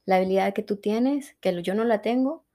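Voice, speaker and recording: A woman from Mexico with a Mexican accent, a guest in a conversational interview, telling her story in a reflective and animated way. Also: mid-range voice, fairly quick. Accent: Mexican Spanish.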